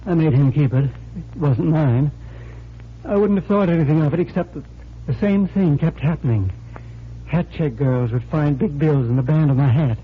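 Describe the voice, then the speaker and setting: Male actor as desperate, faltering bank teller near breaking point, old radio drama; raised pitch, tinny phone-like sound. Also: normal speed, a little hiss or hum, natural voice. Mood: troubled, confiding. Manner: distraught, faltering, pleading. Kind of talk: 1940s radio acting. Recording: thin, lo-fi 1940s radio recording.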